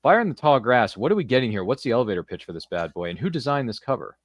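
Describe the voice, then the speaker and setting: A man's voice: medium pitch, very animated, very fast, no room sound. A man hosting a live podcast in a casual, slangy, jokey way. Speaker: podcast host. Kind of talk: casual live show chat.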